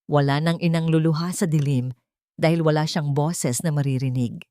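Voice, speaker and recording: A woman with a Filipino accent voicing a gentle, earnest rich man in an audiobook, solemn and measured. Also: sits low, steady tone, no echo, clean studio sound, lightly acted. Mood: tender, proud. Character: gentle, earnest wealthy older man in love. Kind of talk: audiobook character dialogue.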